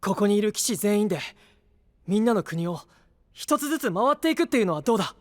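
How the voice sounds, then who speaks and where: A man's voice: high-pitched, expressive, fast talker. A man playing an earnest, hot-blooded young knight in an anime audio drama, sincere and eager in delivery. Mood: eager, hopeful.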